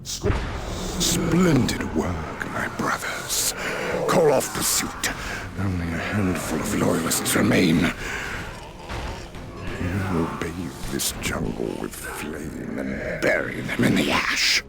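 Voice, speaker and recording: Sneering evil space marine, grim n impatient, real gravelly, loud game music behind. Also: male voice, sits low, very animated, slow pace, exaggerated voice. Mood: savage satisfaction. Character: bloodthirsty, impatient, sneering male Chaos space marine lieutenant. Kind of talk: theatrical video game villain acting.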